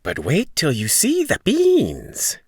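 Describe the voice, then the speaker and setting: A man's voice: a little high, wildly swooping pitch, takes it slowly, breathy edge, dry recording, studio quality, pitched above the performer's own voice, heavily acted. A man voicing a little old bearded storybook stranger, coaxing and persuasive.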